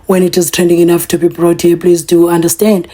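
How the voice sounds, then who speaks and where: A woman's voice: on the low side, completely flat delivery, fairly quick, dark tone. A tired woman with a South African accent, talking earnestly off camera as host of her political commentary vlog. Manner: earnest, conversational, with restarts. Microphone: voice-over, off camera.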